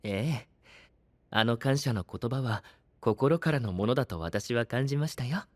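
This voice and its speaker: Refined gentle knight for anime drama, male actor, courteous, composed, mid-range voice. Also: medium pitch, a little slow, dry recording, lightly acted. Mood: gentle, caring. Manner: soft, courteous, formal. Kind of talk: anime-style audio drama acting.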